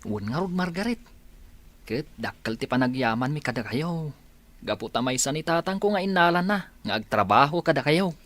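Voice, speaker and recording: An actor with an Ilocano Filipino accent playing a friendly, deferential farmhand in a radio comedy drama. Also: male voice, fairly high pitch, expressive, normal speed, fairly bright tone, no room sound. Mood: teasing, grateful. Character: friendly village farmhand, deferential to his elder.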